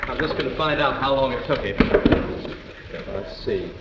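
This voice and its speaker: An actor playing a calm, persistent juror in 1950s live television drama, reasoning step by step with small hesitations. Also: male voice, medium pitch, normal speed, lightly acted. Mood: methodical, determined. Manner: hesitant, methodical, explaining step by step. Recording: muffled, noisy, echoey 1950s TV broadcast.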